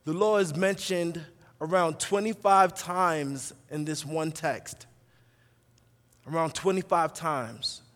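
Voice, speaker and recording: A man's voice: fairly high pitch, slow, a bit bright. A middle-aged man from New York with an American accent, preaching a sermon with emphatic repetition and conversational asides to the congregation. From New York, USA. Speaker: guest preacher, pastor. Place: large reverberant room, preaching to a congregation. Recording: long hall reverb.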